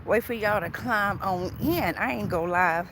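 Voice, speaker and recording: A woman's voice: mid-range voice, measured pace. Woman livestreaming casually outdoors, some street noise. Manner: informal, repeats phrases, checks in with okay and all right. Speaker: livestream host and spiritual tarot reader. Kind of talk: casual livestream chat to followers. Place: outdoors on a walk near traffic.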